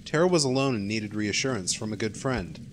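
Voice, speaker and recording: A man's voice: lowish voice, lively intonation, brisk, close to an everyday voice. A man with an American accent playing a loyal, protective young friend in an amateur radio play. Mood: sincere, casual.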